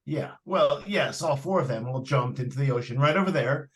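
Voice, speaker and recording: A man's voice: medium pitch, normal speed, lightly acted. An actor playing a fussy, matter-of-fact male real estate agent in an old-time radio drama, earnest with hesitant starts. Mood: earnest, dismissive. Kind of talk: 1940s live radio drama acting.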